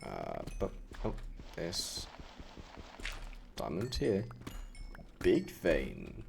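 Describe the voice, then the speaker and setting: Young male streamer, German accent, unhurried gravelly delivery, easygoing banter. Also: deep voice, a little hiss or hum. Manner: relaxed, chatty, laughing and joking with a co-player. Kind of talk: casual gaming chat.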